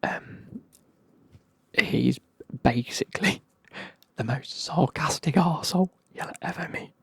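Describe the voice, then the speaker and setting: A person with a British accent whispering a casual, chatty, sweary retelling of a book in a breathy ASMR style. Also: mid-range voice, slow, no room sound.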